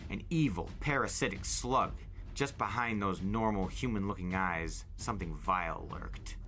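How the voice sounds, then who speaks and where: Low, bright-voiced male storyteller. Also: moderate pace, slight background noise. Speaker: storyteller, podcast host. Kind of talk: dramatic first-person audiobook narration. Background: faint music.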